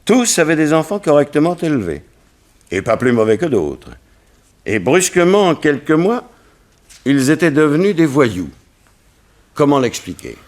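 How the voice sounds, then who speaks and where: Middle-aged statesman and father, troubled yet dignified: earnest 1960s French radio delivery, highly animated pitch. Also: normal speed, long pauses. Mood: earnest, indignant. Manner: formal, earnest, rhetorical. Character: troubled, dignified politician father of a teenage son.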